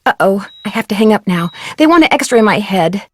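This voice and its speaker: A woman's voice: mid-range voice, expressive, moderate pace, close to an everyday voice. An actress voicing an earnest, plucky young woman detective in a video game, polite and understated. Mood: sheepish. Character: earnest, plucky, accident-prone young female amateur detective. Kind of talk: scripted video game voice acting.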